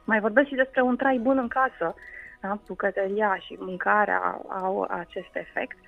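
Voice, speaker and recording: Woman explaining in halting phrases, slightly dull sound. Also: mid-range voice, steady tone, creaky, soft background music. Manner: hesitant, with frequent pauses mid-phrase. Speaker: guest food culture researcher. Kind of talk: radio interview, explanatory storytelling.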